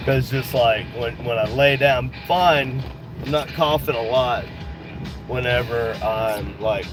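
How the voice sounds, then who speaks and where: A man's voice: mid-range voice, measured pace, over music. A man with a head cold and a nasal voice, chatting casually and rambling while he drives, swearing now and then. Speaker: livestream host. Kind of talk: casual chat while driving. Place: inside a car on the road. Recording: noisy car cabin.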